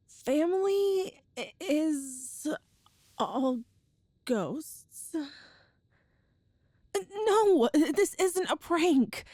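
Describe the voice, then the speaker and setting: Woman acting a young girlfriend, high-pitched, slow-paced, nervous, tender, earnest, hesitant, intimate ASMR roleplay. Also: darkish tone, dry recording, studio quality. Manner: hesitant, halting, earnest, breathy sighs and nervous laughs. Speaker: audio roleplay performer.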